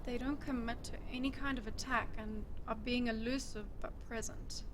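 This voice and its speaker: Woman acting a curt, high-voiced desert warrior woman. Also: fairly even intonation, moderate pace, noisy background, close to an everyday voice. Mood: brisk, calculating. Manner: curt, menacing, dry sarcasm. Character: Reiko, curt, threatening, strategic female desert guardian. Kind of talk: audio drama acting.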